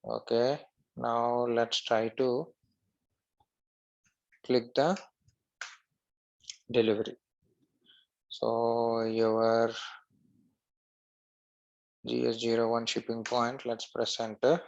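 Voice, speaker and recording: A man narrating a screen-share software tutorial step by step as an instructor. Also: medium pitch, flat delivery, drawn-out words, vocal fry, pauses often, highs cut off.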